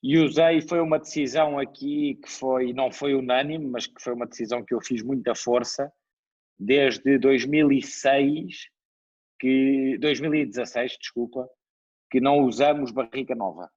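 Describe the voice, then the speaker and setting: A man with a European Portuguese accent, a winemaker speaking as an interview guest in a measured, explanatory way. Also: mid-range voice, steady tone, darkish tone, no room sound. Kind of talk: conversational interview. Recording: dull dry lo-fi sound.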